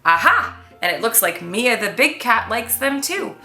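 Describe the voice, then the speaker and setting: A woman voicing an orphan baby kitten who plays a pompous, excitable safari guide in a children's storybook. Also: on the high side, unhurried, roomy sound, faint music behind, full character voice. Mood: excited. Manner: theatrical tour-guide patter, exclaiming.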